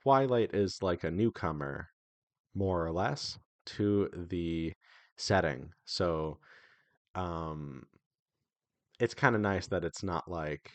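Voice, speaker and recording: A man with a low voice speaks as an indie developer hosting a devlog. His delivery is informal and conversational, with hesitant phrasing that often restarts. The sound is dry, with very little room in it. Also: slow, dry recording. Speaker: indie visual novel developer and devlog host. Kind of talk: casual devlog talk.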